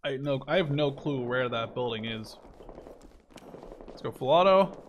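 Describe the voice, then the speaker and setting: Young guy chatting while he plays, mid pitch, loud gunfire and explosions behind him. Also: lively intonation, measured pace, highs cut off. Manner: rambling, swears when killed. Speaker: gameplay commentator. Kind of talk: casual gameplay commentary. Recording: game gunfire noise behind voice. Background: loud gunfire and artillery from the game.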